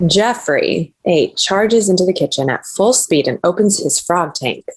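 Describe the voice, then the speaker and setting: Woman narrator, slightly low pitch, scripted screenplay reading, stage directions. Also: animated, measured pace, husky, low-quality recording.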